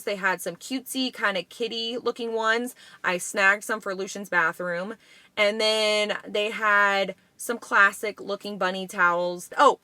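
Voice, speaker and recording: A woman chatting casually through a shopping haul vlog, rambling and correcting herself, a little hoarse. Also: mid-range voice, pushed and bright, dry recording. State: slightly hoarse from allergies. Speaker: vlogger.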